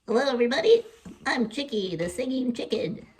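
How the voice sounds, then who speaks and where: A woman's voice: a little high, animated, a little fast, a little mellow, slight background noise, close to an everyday voice. A woman voicing a showy singing chicken puppet, announcing things in a children's puppet show. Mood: proud greeting.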